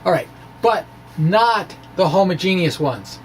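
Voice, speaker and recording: Playful higher-voiced man, noisy recording. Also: expressive, a little slow. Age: middle-aged. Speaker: physics blogger reading his own blog. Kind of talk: dramatic reading of a science blog with informal asides. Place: small indoor room with bookshelves. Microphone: microphone on a stand in front of him.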